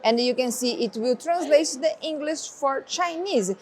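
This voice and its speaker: A woman's voice: sits high, normal speed, a little hiss or hum. A woman whose first language is Portuguese, casually demonstrating a tablet's features step by step. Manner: relaxed, explaining step by step, non-native phrasing. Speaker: tech reviewer. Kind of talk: casual product demo.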